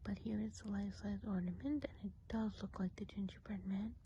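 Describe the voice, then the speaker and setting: A woman's voice: mid-range voice, fairly even intonation, dull audio, close to an everyday voice. Woman playing a kindly whispering witch of the woods. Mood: whimsical, soothing. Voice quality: soft, breathy whisper. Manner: slow, gentle, unhurried explaining. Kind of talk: ASMR fantasy role play. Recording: faint background noise.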